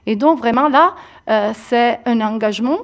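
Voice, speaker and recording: A woman making an emphatic statement on a panel, slowing down to stress her points. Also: fairly high pitch. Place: public hall with audience.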